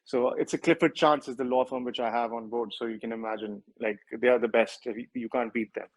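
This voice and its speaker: A man's voice: medium pitch, steady tone, fairly quick, rough recording. A man with a strong Indian accent, a project founder answering questions in a livestreamed interview, talking at length and often correcting himself. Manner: rambling, self-correcting, with many filler words.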